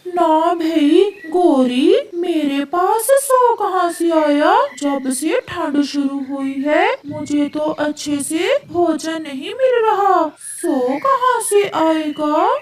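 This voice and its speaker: A woman's voice: very high voice, slow, hardly any pauses. A woman voicing a hungry, grumbling male parrot in a cartoon, questioning and complaining. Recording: echoey, noisy, distant microphone.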